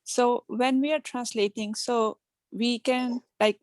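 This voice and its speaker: A woman's voice: on the high side, steady tone, slow pace, darkish tone, no room sound. A woman student whose first language is Urdu, asking questions hesitantly and searching for words.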